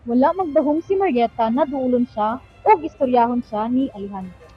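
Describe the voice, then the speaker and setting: Female radio-play narrator, Filipino accent, high register, scripted storytelling with music behind. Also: animated, fairly quick. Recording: muffled lo-fi recording.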